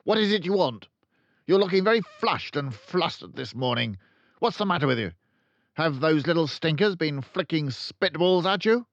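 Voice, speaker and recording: A man voicing a formidable, impatient female headmistress in a children's storybook, barking and shouting her words. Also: fairly high pitch, lively intonation, normal speed, no room sound, lo-fi sound, voice pushed up. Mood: impatient, brusque. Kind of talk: children's storybook read-aloud.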